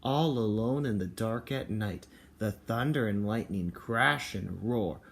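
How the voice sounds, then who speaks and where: A man's voice: lowish voice, measured pace, creaky. A man speaking as a kindergarten teacher, explaining and reading aloud to young children and parents.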